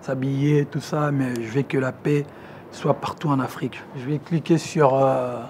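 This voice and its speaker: A man in his thirties from Congo with a Congolese French accent, talking casually to camera, relaxed and hesitant with many fillers. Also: mid-range voice, normal speed, slight background noise. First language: Lingala. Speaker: musician presenting his own interests. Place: outdoor garden terrace among plants. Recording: music playing under the voice.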